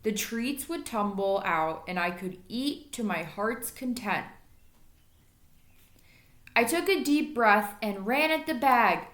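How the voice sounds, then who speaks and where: A woman's voice: a little high, expressive, takes it slowly, fairly bright tone. A woman reading a children's story aloud expressively, like an elementary school teacher. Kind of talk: storybook read-aloud narration. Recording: echoey room.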